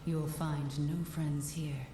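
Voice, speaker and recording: An actress playing a solemn mystic guide in a video game, speaking in a measured, deliberate way. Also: female voice, deep voice, level tone, background noise. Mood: solemn guiding. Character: solemn mystic woman guide advising a warrior. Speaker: guide character. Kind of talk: video game dialogue, instructing. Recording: roomy echo, music underneath. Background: game music.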